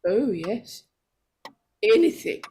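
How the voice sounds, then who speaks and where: A woman's voice: medium pitch, sing-song, slow, dark tone, slight background noise. A woman playing a nervous, pleading, scheming woman in 1940s radio horror style, stammering and repeating words. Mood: scheming, uneasy.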